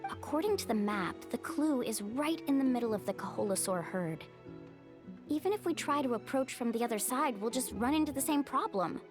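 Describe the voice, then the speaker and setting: A high, very expressive young heroine from a video game, acted by a woman. She is a spirited, friendly guide who sounds confident and upbeat over clearly audible music. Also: a little fast, close to an everyday voice. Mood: thoughtful.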